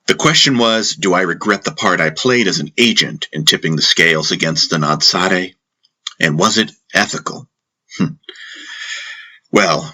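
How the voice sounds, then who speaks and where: A man playing a seasoned, cynical intelligence agent who lectures recruits in a wry, measured, musing way in an audio drama. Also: low-pitched, pushed and bright, husky, full character voice.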